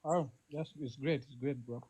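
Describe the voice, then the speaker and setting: A man's voice: mid-range voice, moderate pace, dark tone, breathy edge, poor audio. A man chatting casually on a live audio stream. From South Sudan.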